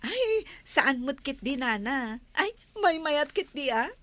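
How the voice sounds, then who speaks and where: Bright-voiced, animated gossipy village woman. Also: high voice, takes it slowly, no echo, exaggerated voice. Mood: teasing, excited. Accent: Ilocano. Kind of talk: comedy radio drama acting.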